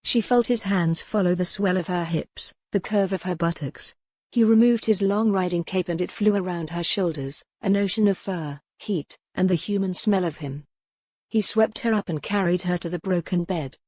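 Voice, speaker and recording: A woman's voice: on the low side, moderate pace, a little mellow, dry recording, studio quality. A woman reading scripted, dramatic historical romance narration as an audiobook narrator.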